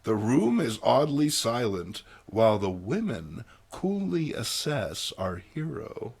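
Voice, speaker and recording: A man's voice: medium pitch, sing-song, slow, gravelly, a little mellow. A man giving a playful, theatrical dramatic reading of a comic book, with wry asides. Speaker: amateur comic book reader.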